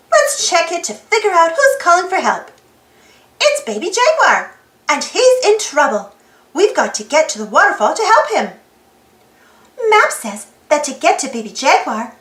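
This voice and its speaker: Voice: extremely high pitch, pushed and bright, roomy sound. A storybook reader with an exclaiming manner, reading aloud for children and prompting young listeners with questions. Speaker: storybook reader and channel host.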